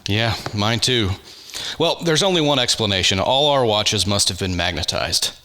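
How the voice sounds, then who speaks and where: An actor playing a level-headed, authoritative scientist in a radio drama, explaining things deliberately. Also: male voice, lowish voice, animated, sharp tone, no room sound. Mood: composed.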